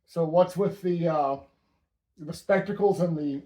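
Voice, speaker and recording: A man with a fairly high voice hosts a casual show about stamps. He delivers facts and jokes in a dry, deadpan way. The room around him echoes noticeably. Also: measured pace. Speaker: host. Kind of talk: casual stamp-collecting chat.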